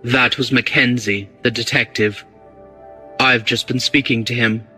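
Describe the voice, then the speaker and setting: A man reading the part of a cool, bold, quick-witted English gentleman rogue in an audiobook, breezy and commanding. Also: medium pitch, fairly even intonation, soft background music. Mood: brisk, commanding.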